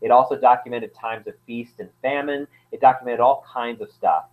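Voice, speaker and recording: A man's voice: mid-range voice, steady tone, moderate pace. A man explaining as an anthropologist guest in a casual interview, hesitant, with many fillers and restarts. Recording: tinny phone-like line.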